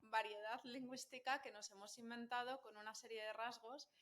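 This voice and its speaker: A woman's voice: fairly high pitch, steady tone, fairly quick, a bit bright. A woman with a Madrid Spanish accent, a linguist guest explaining patiently in a casual podcast chat, with frequent fillers and laughter. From central Spain.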